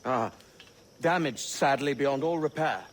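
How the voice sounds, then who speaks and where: A man's voice: medium pitch, a little slow, very noisy, close to an everyday voice. An actor playing a brash, blunt young male pirate in a dramatic video game. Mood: guarded, polite. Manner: cocky, blunt, rough-edged.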